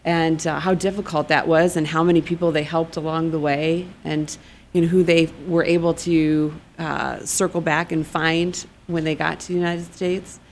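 Woman guest, low-ish voice, halting and sincere, faint noise behind. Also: flat delivery. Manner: earnest, halting, many fillers. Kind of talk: interview answers.

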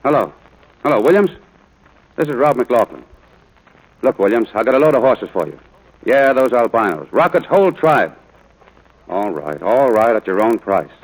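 A man's voice: medium pitch, very animated, normal speed, long pauses, poor audio. A man acting a stern, practical rancher father in a 1940s radio drama, blunt and commanding. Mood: stern, insistent. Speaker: actor in a radio play. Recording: vintage 1940s radio recording, music at times.

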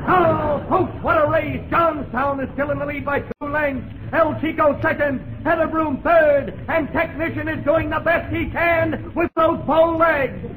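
A man playing an excitable, wisecracking horse-race announcer in a 1930s radio comedy, calling out urgently. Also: very high voice, unhurried, few pauses. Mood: excited. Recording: faintly noisy 1930s radio recording.

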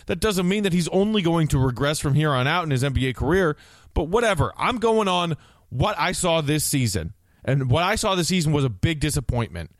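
A man's voice: high-pitched, no echo. An American man co-hosting a sports podcast, giving blunt, emphatic opinions on basketball in a conversational way.

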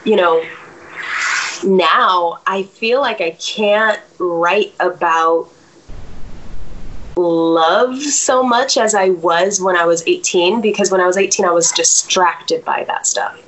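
A woman in her thirties with a fairly low voice speaks as a guest in a relaxed interview. She thinks aloud, pausing on fillers and circling back to restart her sentences. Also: low-quality recording. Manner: reflective, many fillers and restarts. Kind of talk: casual interview chat.

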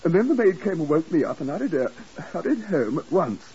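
Flustered, swooping English hotel keeper. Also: male voice, on the high side, very animated, fast, mellow, breathy edge, heavily acted. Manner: stammering, fussy, hesitant. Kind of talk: 1950s radio drama acting. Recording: muffled, hissy 1950s radio recording.

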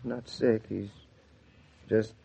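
An actor playing a gentle, reticent, solemn young husband in a 1940s radio drama. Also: male voice, fairly low pitch, little pitch movement, extremely slow, creaky, breathy edge, heavily acted. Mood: subdued, tender. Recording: dull vintage 1940s radio recording.

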